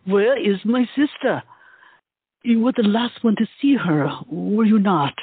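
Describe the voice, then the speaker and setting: A man's voice: high voice, expressive, unhurried, breathy edge, no echo, heavily acted. A man playing a haughty, demanding woman. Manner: imperious, threatening. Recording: lo-fi, phone-like, with music.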